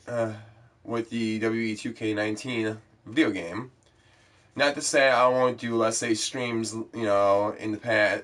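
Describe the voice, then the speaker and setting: A man's voice: lowish voice, a bit bright. A young man, congested from a cold, chatting loosely and rambling through an intro to his game stream. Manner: chatty, loose, many fillers. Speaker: gaming vlogger.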